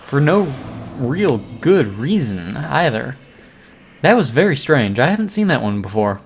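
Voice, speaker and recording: A man commentating his own game playthrough, relaxed and chatty, a bit hoarse from losing his voice. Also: medium pitch, unhurried, slight background noise. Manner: relaxed, chatty, talks to viewers. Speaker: gaming commentator. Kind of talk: let's play commentary.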